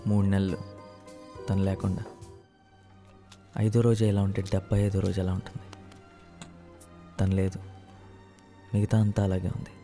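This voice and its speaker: An actor playing a lovesick young engineering student, candid and teasing, in naturalistic film dialogue with some English mixed in. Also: male voice, deep voice, little pitch movement, moderate pace, long pauses. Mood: wistful. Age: young adult. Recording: film soundtrack with music underneath.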